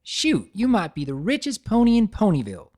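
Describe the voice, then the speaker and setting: A narrator acts out a folksy farm mare from a cartoon story. The voice is raised and loud, with a blunt, needling, taunting way of talking. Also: medium pitch, huge pitch swings, fairly quick, voice pushed up.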